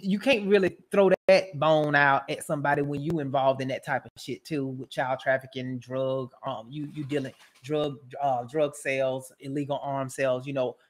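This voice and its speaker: A woman giving casual, profane roast commentary as a show host, brash and mocking, rambling and repeating her phrases. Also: deep voice.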